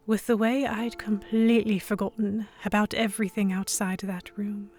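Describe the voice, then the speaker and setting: A woman playing an anxious, abducted young heroine, narrating a pirate romance audio drama in a measured, introspective, literary manner. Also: mid-range voice, fairly bright tone, lightly acted. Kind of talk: scripted first-person dramatic narration.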